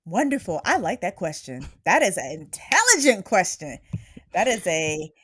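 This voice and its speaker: A woman hosts a podcast interview with a slightly low voice. She is upbeat and chatty and laughs often between her thoughts. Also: big pitch swings, moderate pace, a bit bright, breathy edge, no echo, clean studio sound. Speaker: podcast host. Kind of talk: casual interview chat.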